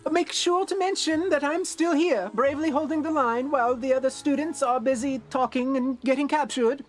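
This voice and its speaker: A woman's voice: sits high, normal speed, faint music behind, close to an everyday voice. A woman voicing a bossy, self-important young wizard student in a video game, with a haughty, theatrical delivery. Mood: flustered, pompous. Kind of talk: scripted video game character acting.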